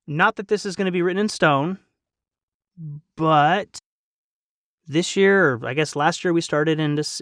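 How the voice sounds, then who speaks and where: A man's voice: a little high, a bit bright, clean tone, dry recording, studio quality. A man hosting a casual podcast discussion among colleagues. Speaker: podcast host.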